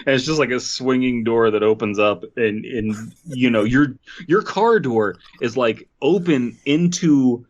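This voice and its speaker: A man's voice: mid-range voice, measured pace, hardly any pauses. Man chatting on a lo-fi mic. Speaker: podcast co-host. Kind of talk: casual comedic chat and story reading. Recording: dull lo-fi microphone sound.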